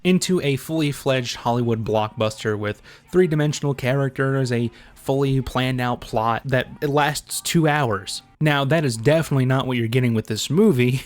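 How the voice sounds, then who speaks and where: Blunt, sarcastic man doing video commentary. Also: mid-range voice. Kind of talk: casual opinionated video commentary.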